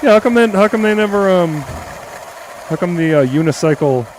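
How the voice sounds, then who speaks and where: A man co-hosting a casual podcast chat. He teases the others and talks haltingly, with plenty of fillers. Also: high-pitched, expressive, slight background noise. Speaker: podcast co-host.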